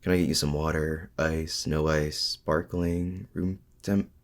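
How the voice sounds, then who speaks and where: Lonely mad-scientist villain, played by a man, for ASMR audio drama: rock-bottom register, gravelly, halting and earnest. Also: extremely low pitch, flat delivery. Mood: awkwardly chatty. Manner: hesitant, halting, softly sincere. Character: lonely, awkward supervillain mad scientist, male, confiding in his rival. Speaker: audio roleplay performer. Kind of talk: intimate ASMR audio roleplay acting.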